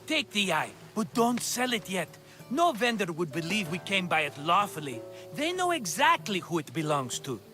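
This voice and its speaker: An excitable high-voiced schemer. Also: male voice, moderate pace, faint music behind, heavily acted. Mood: cajoling. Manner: theatrical, cajoling, wheedling. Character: scheming, cheerful, fast-talking male merchant friend in ancient Greece. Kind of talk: video game cutscene acting.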